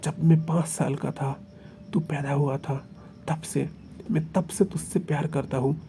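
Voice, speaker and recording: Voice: mid-range voice, unhurried, warm tone, a little hiss or hum, natural voice. A storyteller voicing a young man who pleads with and then confronts his partner. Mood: hurt intense. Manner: coaxing, then hurt and intense. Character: young man, doting then possessive lover.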